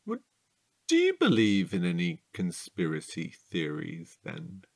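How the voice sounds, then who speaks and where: A man playing a slow, swooping, childlike chatterbox. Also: sits low, creaky, full character voice. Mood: curious. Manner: playful, excitable, drawn-out delivery. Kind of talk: comedic audio drama acting.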